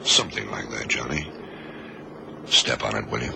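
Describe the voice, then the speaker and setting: An actor playing a cool, authoritative government agent in a radio drama, explaining things in a measured, commanding way. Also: male voice, very deep voice, creaky, heavily acted. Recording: noisy old radio recording.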